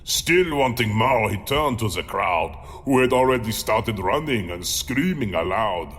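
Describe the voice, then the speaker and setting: A man playing a low-voiced monster reciting in a cavernous space. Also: lively intonation, a bit bright. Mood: grim relish. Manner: slow, deliberate, dramatic. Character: monstrous male man-eater reciting his own grim rhyming memoir. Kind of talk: theatrical rhymed storytelling. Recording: long cavernous reverb.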